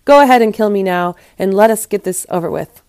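A woman's voice: mid-range voice, normal speed, no room sound, lightly acted. A woman playing a bold, questioning young maiden in a storybook tale. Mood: resigned. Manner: defiant, inquisitive.

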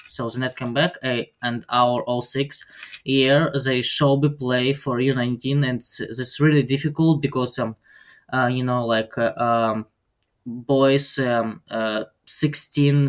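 A teenage boy from Ukraine with a Ukrainian accent, speaking halting non-native English full of pauses and fillers as a podcast guest. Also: medium pitch, fairly even intonation. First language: Ukrainian and Russian. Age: teens. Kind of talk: podcast interview.

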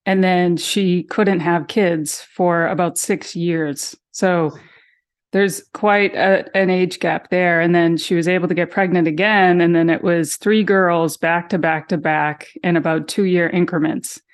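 Woman, 40s, from Massachusetts, faded Boston accent, lowish voice, reflective, light laughs. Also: fairly even intonation, measured pace. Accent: General American, Boston accent mostly gone. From Massachusetts, USA. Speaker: interview guest, sister of missing woman. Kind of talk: casual interview conversation.

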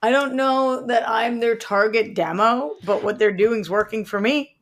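A woman's voice: a little high, a little slow, a bit bright. A woman co-hosting a casual podcast, telling stories in a loose, slangy way. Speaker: podcast co-host.

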